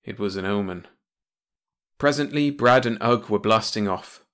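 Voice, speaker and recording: A man's voice: lowish voice, a little slow, fairly bright tone. A man reading a comic pulp science-fiction short story as scripted audiobook narration.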